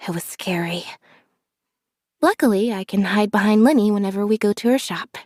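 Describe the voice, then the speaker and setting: A woman voicing a reserved, observant young magician's assistant in a video game, speaking in a calm, measured deadpan. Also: mid-range voice, no room sound, clean studio sound, close to an everyday voice. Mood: hushed. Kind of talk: scripted character voice lines.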